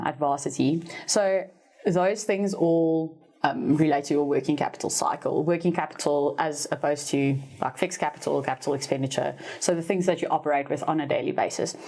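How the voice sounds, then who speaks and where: This is a South African woman, Afrikaans by first language, with a low-pitched voice. She explains business figures in a relaxed, teacherly podcast style. She stays upbeat and fixes her own slips on the fly. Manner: teacherly and upbeat, laughs at herself, corrects herself mid-sentence. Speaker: finance expert guest, former university lecturer.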